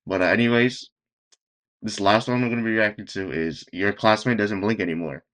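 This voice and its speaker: A man hosting a reaction video, making nonchalant, deadpan remarks with hesitant fillers. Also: fairly low pitch, brisk. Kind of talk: casual reaction commentary.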